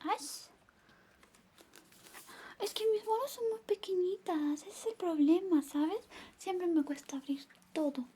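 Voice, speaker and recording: A woman performing a soft-spoken, partly whispered ASMR roleplay as an affectionate, teasing best friend, coy and doting. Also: extremely high pitch, takes it slowly. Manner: coy, playful, doting, murmuring little interjections. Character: affectionate, teasing, jealous young female best friend in love with the listener.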